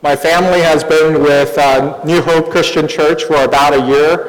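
The man you would hear from the pulpit of a reverberant church on Sunday morning, middle-aged, pitched a little high, pausing after each sentence. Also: fairly even intonation. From born in Taiwan, grew up overseas. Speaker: guest preacher. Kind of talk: sermon given in short phrases for interpretation. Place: large reverberant church hall. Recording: echoey hall with long reverb.

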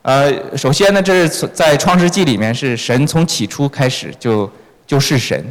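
The man standing beside a preacher in a big echoing church, relaying each phrase to the congregation in a mid-pitched voice. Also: normal speed. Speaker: church sermon interpreter. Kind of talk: consecutive interpreting of a sermon. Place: large reverberant church hall. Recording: echoey hall with long reverb.

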